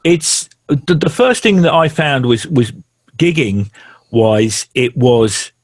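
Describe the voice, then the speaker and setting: Husky South London man, dry sound. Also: mid-range voice, animated, takes it slowly, no room sound. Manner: anecdotal, self-deprecating, frequent restarts. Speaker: guest musician. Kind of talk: casual interview chat.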